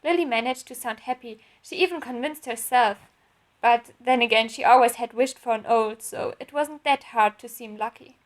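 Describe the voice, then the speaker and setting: A woman's voice: on the high side, moderate pace. A woman reading her own written story aloud as a narrator, in an audiobook style.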